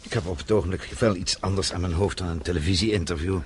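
A man's voice: low voice, fast talker, slight background noise, lightly acted. An actor playing a distraught, hesitant older man who speaks politely and wearily in a radio drama. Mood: politely reluctant. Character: distraught older man, self-described helpless old fellow.

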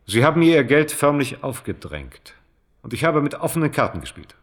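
An actor playing a slippery, self-righteous swindling official in a German radio play, officious and evasive. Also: male voice, medium pitch, very animated, a little fast, natural voice. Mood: indignant, dismissive.

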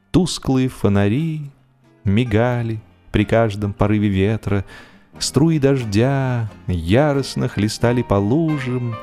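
A man narrating a humorous story as scripted audio drama, with expressive, measured, theatrical phrasing. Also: on the low side. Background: music.

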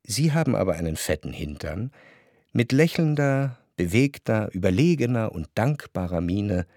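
An actor playing a reflective narrator in a literary radio drama, wry and deliberate in his phrasing. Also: lowish voice, sing-song, a little mellow, dry recording, studio quality. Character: reflective, wry male narrator recalling what he spied. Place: dry studio.

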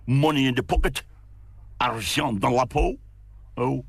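A man's voice: mid-range voice, a little slow, gravelly, breathy edge, dry recording. A man voicing a rambling old pub cadger who stammers and repeats himself in a storybook reading. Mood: rambling, insistent. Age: older. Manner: stammering, repetitive, chuckling rambler.

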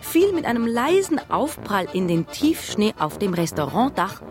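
A woman's voice: mid-range voice, big pitch swings, normal speed, music in the background, natural voice. A woman acting as the narrator of a radio play, telling a mystery from her childhood. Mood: suspenseful.

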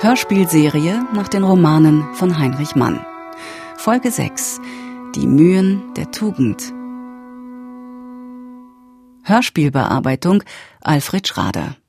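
A woman's voice: sits low, sing-song, slow. A woman announcer formally reading scripted radio play credits. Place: dry studio. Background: music.

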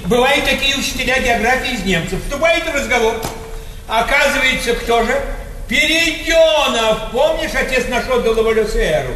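A man's voice: very high voice, lively intonation, moderate pace, sharp tone, full character voice. An actor playing an exasperated old aristocratic grandfather in a stage comedy, declaiming with indignation. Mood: brisk, grumbling. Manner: declamatory, indignant rhetorical questioning. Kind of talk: theatrical stage comedy acting. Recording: echoey theatre hall, distant mics, noisy.

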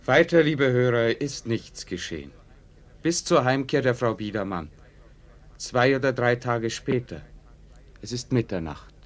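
A composed narrator played by a man in a radio drama. His voice is of middling pitch, with the measured formality of scripted narration. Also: expressive, close to an everyday voice. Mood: matter-of-fact.

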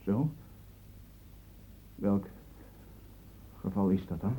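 An actor playing a defensive, evasive young man under suspicion in a Dutch radio drama, halting and touchy in his protests. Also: deep voice, dark tone, slight background noise. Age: young adult. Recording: muffled phone-like old radio recording.

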